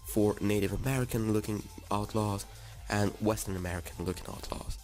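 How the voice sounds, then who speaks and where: Raspy, hoarse young-sounding man narrating. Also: lowish voice, darkish tone. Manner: enthusiastic, informal, addresses viewers. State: sick, hoarse. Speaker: gaming YouTuber. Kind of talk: game preview voice-over.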